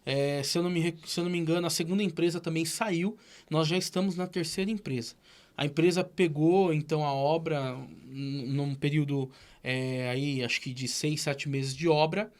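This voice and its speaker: Earnest Brazilian official, slightly high voice. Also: male voice, steady tone, normal speed. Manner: explanatory, hesitant restarts. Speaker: guest, city health secretary. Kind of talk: interview answers.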